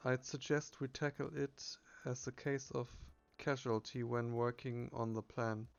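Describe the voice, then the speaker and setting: A man voicing a calm, rational consultant investigator in a game, formal and measured. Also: mid-range voice, creaky, dull audio.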